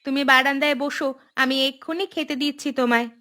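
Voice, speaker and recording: A high, bright young village wife for a cartoon. She is caring and hardworking, a dutiful daughter-in-law, with music underneath. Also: fairly even intonation, fast. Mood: fond, brisk. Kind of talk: Bengali cartoon voice acting.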